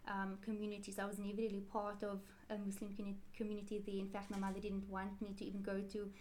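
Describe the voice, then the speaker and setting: A woman's voice: medium pitch, completely flat delivery, fast talker, darkish tone, a little hiss or hum. A woman, answering interview questions in a chatty, rambling way and correcting herself often. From South Africa. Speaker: interview guest, Quran teacher.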